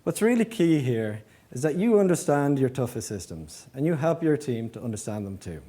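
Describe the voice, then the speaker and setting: A man's voice: mid-range voice, big pitch swings, normal speed, warm tone. A man giving a prepared presentation as an engineering manager, explaining step by step and posing rhetorical questions. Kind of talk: prepared conference-style presentation.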